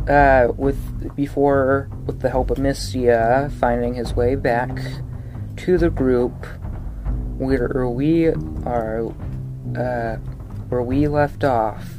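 A man tells a game recap at a very slow pace. His style is casual, informal storytelling with halting phrasing, and music plays clearly behind him. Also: mid-range voice, fairly even intonation. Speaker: podcast co-host and game player.

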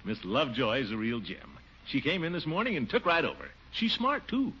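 A man's voice: medium pitch, wildly swooping pitch, brisk, pushed and bright, breathy edge, exaggerated voice. An actor playing a blustering, pompous middle-aged male city official who gives a hearty laugh, in 1940s radio sitcom style. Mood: eager. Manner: jovial, boastful, sneaky excuses. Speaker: Gildersleeve, water commissioner. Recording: muffled old radio broadcast, faint hiss.

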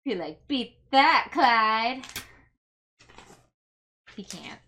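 A woman chatting casually and playfully as a player in a tabletop game, excitable and full of questions and exclamations. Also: on the high side, extremely slow.

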